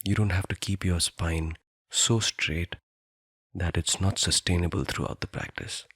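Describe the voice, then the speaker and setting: A man guiding a meditation in a soothing, deliberate manner, leaving long pauses between phrases. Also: sits low, steady tone, fairly bright tone, breathy edge, dry recording, studio quality. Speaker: meditation guide. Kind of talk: guided meditation narration.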